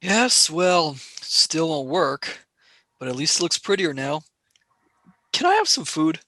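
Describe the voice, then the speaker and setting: A woman's voice: low-pitched, sing-song, slow, bright, forceful tone, no room sound, heavily acted. A performer playing a wry, sardonic young male space traveller who turns sarcastic and wheedling in a radio play.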